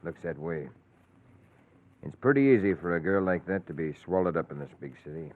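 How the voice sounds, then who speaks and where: A resigned, slippery investigator in forties radio style. His voice is deep, and the old recording is thin as a phone line. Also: animated, a little fast. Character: evasive, resigned hired investigator. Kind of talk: 1940s radio acting.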